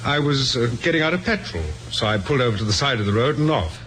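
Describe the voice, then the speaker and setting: An actor as a polite but slippery man under questioning. His medium-pitched voice hesitates and explains too much. It sounds like an old radio drama, with faint noise behind it. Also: animated, fast talker, a bit bright, natural voice. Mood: nervously explaining. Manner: hesitant, over-explaining, courteous. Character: evasive, polite man in his thirties, smooth suspect.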